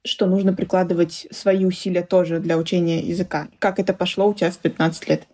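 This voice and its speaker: A woman with a fairly low voice asks her podcast guest follow-up questions. She speaks in a calm, measured way. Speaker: podcast host, interviewer. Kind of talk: interview questions.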